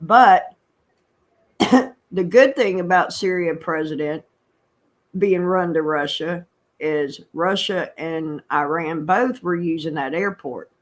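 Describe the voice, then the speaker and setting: A woman's voice: on the low side, slow. A woman from Florida with a Southern accent, chatting bluntly and laughing often. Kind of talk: casual chat.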